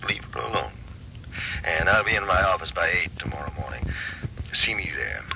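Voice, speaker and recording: A calm family doctor in a vintage radio play. His voice is on the low side, measured and concerned, under loud noise on a muffled recording. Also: vocal fry. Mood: concerned, reassuring. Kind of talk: old-time radio drama acting. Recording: very noisy, dull old radio recording.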